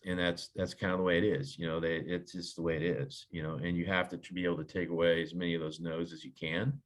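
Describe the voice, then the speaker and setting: A man talking casually and haltingly on a video call, as a scriptwriter in an online meeting. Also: sits low, flat delivery.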